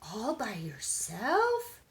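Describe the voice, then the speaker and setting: A woman's voice: a little high, wildly swooping pitch, extremely slow, reverberant, pitched above the performer's own voice, heavily acted. A woman voicing an eager, wide-eyed little boy kitten in a children's storybook, with a childlike, exclaiming delivery. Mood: curious.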